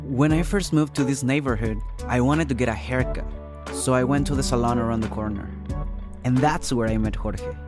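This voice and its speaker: A man's voice: medium pitch, moderate pace. Man telling a personal story over music. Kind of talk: first-person narrative storytelling.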